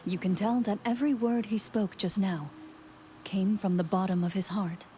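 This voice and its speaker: Woman as calm narrator, mid-pitched voice, measured descriptive reading, game music behind. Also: natural voice. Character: calm storybook narrator of a tabletop adventure. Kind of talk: scripted narration.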